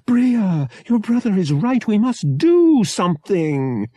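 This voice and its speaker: A man's voice: high-pitched, very animated, slow pace, warm tone, no echo, clean studio sound, pitched above the performer's own voice, exaggerated voice. A man voicing a timid, fretful older mother who speaks in gasping, fluttery exclamations. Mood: anxious, dismayed.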